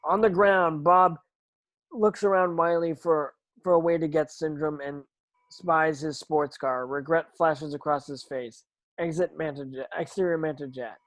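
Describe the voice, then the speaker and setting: A man reading stage directions at an amateur table read, halting and stumbling over words as he narrates. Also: fairly high pitch, steady tone, a bit bright, no echo, low-quality recording.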